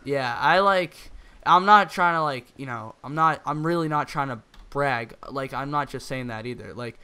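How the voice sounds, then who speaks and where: A young man with a croaky voice, co-hosting a gaming video and rambling in casual chat with lots of "like" fillers. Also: medium pitch, normal speed, sharp tone. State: croaky, throat-clearing. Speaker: gaming channel co-host. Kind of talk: casual chat over gameplay.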